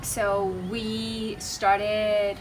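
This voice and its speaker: A woman's voice: medium pitch, monotone, extremely slow, over loud music. A young woman from Colombia with a Colombian Spanish accent, speaking English as a guest and reflecting as she searches for words. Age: young adult. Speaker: interview guest. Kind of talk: casual conversation. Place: outdoors in a small coastal town. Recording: distant mic with clear outdoor noise.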